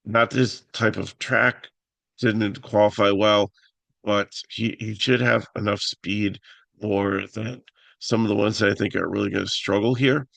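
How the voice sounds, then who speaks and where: A man with a raspy voice hosting a casual fantasy picks talk, halting with many fillers and restarts. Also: lowish voice, fairly even intonation, a bit bright, dry recording. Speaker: fantasy sports analyst host.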